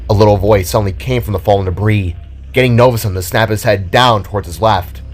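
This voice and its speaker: A man with a fairly low voice tells a dramatic story as its narrator. His delivery is solemn and theatrical, with music playing behind him. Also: fast.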